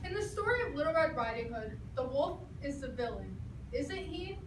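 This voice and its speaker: A woman's voice: high-pitched, sing-song, moderate pace. A woman giving a scripted storytelling performance as part of readers theatre. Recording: roomy, distant mic, noisy background.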